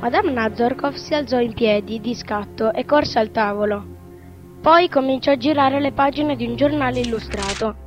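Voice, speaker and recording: A woman's voice: a little high, normal speed. A woman narrator reading scripted narration for a radio drama. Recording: dull, lo-fi radio drama sound. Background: music.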